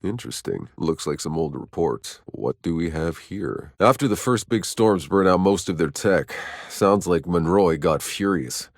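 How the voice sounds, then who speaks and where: A man's voice: low-pitched, lively intonation, a little slow, no echo, full character voice. An actor playing a hardened, weary male soldier in a sci-fi video game, speaking in a terse, dry, sardonic way. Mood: intent, curious. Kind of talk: scripted game voice lines.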